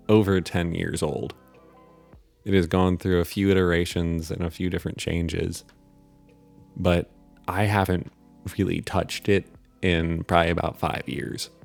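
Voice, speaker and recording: A man hosting a channel, talking casually to viewers in a halting, informal, rambling way. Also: sits low, flat delivery, creaky, soft background music. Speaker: channel host and writer. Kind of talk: casual outro chat to viewers.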